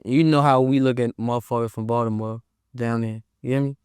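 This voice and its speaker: Smooth-voiced DC rapper, laid-back. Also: male voice, medium pitch, fairly even intonation, brisk, darkish tone, no room sound, studio quality. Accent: Washington DC. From Southeast Washington DC. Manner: laid-back, slangy, rambling. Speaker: rapper, guest. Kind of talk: casual interview chat.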